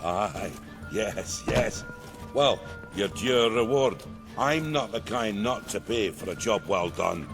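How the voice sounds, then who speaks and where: A fawning middle-aged village merchant in a fantasy game. He is effusive and obliging, and his voice swings widely. Music plays clearly behind him. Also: lowish voice, expressive, takes it slowly. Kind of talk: video game character acting.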